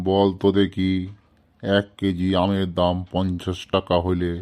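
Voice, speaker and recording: A man's voice: low-pitched, completely flat delivery, unhurried, darkish tone, clean tone. A man playing an exasperated, easily angered schoolteacher in a comic cartoon, scolding and long-suffering. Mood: weary, irritated.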